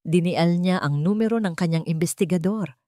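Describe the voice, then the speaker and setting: A woman with a Filipino accent reads a scripted dramatic story in a measured, deliberate way. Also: on the low side, dark tone, no room sound, clean studio sound. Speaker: narrator.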